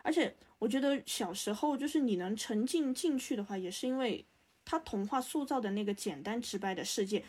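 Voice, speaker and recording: A young woman with a high, breathy-rough voice talks casually among friends. She is animated and likes to spin hypothetical examples as she goes. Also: a little fast.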